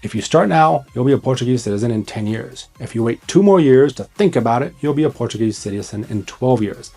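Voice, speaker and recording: A man's voice: mid-range voice, expressive, a little fast, warm tone, soft background music. A man presenting scripted advice to camera as a host, speaking bluntly and urgently in short, punchy, emphatic phrases. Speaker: host, immigration consultant.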